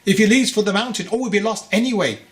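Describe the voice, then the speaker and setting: An overprotective, distraught mother from a storybook, acted out by a male reader. The voice is pushed up high and shrill. She scolds, pleads and demands. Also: fast talker, a bit bright. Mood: anguished, demanding. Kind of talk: audiobook character voice.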